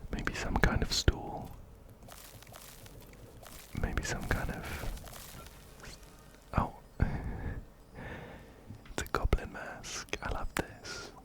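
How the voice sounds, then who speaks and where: A man whispering ASMR game commentary very close to a binaural mic, gently repeating his phrases. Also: very slow, pauses often. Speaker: ASMR host playing a video game. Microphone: close binaural microphone, ear to ear.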